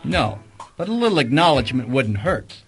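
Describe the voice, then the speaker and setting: A man's voice: mid-range voice, big pitch swings, a little fast, soft background music. Male private detective , played by an actor, self-assured and dryly wry, audio mystery drama.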